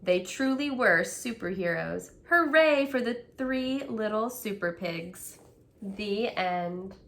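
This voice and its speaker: A woman telling a story to children as a storyteller and teacher, in an expressive storybook style. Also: mid-range voice, slow.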